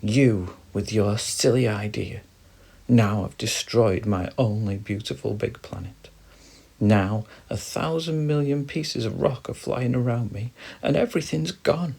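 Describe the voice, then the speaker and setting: A man voicing a small, eager young star, childlike and excitable, in a bedtime story. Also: lowish voice, measured pace, vocal fry, breathy edge, pitched above the performer's own voice, heavily acted. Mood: sulking.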